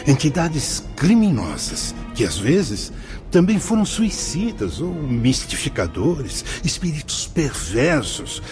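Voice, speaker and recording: An actor playing a learned, patient spirit guide in a radio drama, speaking formally, emphatically and didactically. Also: male voice, mid-range voice, darkish tone. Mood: grave, explaining. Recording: music behind the voice.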